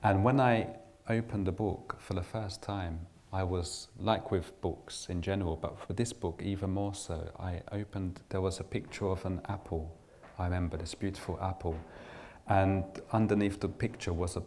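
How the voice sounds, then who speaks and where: A man's voice: low-pitched, fairly even intonation, normal speed, darkish tone. A middle-aged man from England giving a reflective personal talk to an audience, often restarting his sentences. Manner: reflective, with frequent restarts and fillers. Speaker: invited speaker.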